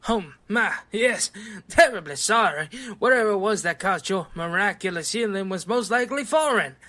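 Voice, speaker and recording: A woman playing an excitable, absorbed scientist doctor in an audio drama, precise and given to muttering. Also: mid-range voice, moderate pace, lightly acted. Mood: brisk, apologetic.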